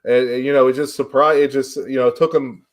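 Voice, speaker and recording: A man's voice: mid-range voice, fairly even intonation, fairly quick. A man in his forties hosting a casual chat, telling stories in a plainspoken, folksy way. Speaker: livestream host.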